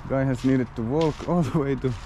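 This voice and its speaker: A man's voice: medium pitch, normal speed, warm tone. A man, a Finnish native speaker, exploring an abandoned house outdoors and thinking aloud in casual commentary, often restarting his sentences. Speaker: urban explorer. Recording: noisy outdoor camera audio.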